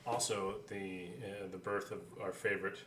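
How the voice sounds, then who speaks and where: A man co-hosting a casual behind-the-scenes chat, telling stories haltingly and often restarting his sentences. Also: on the low side, flat delivery, noisy background. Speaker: podcast co-host. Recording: echoey room sound.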